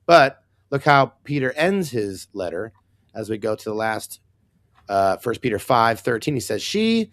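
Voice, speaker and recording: A man with a hoarse, raspy voice, co-hosting a casual Bible discussion with wry, sarcastic asides. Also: medium pitch, very animated, measured pace, a bit bright, dry recording. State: hoarse, recovering lost voice. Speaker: co-host.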